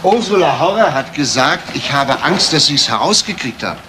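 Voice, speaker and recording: An actor playing a persistent police detective in a radio crime drama, asking terse, insistent questions. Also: male voice, a little high, very animated, moderate pace, background noise.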